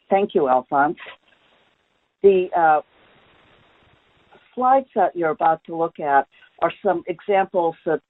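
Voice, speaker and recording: Male webinar presenter, higher-pitched, steady and explanatory, filler-heavy, dry phone-quality audio. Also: dry recording.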